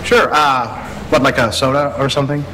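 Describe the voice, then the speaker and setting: An actor playing a goofy, excitable but meek garage sidekick in a video game, hesitant and bumbling. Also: male voice, mid-range voice, normal speed, slight background noise, close to an everyday voice. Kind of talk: video game cutscene acting.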